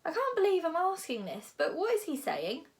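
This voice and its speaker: Lady doing a worried disbelieving kid, boy, pitched up high, storybook character. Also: huge pitch swings, normal speed, full character voice. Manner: astonished, questioning. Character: incredulous, fretful boy, the hero's friend.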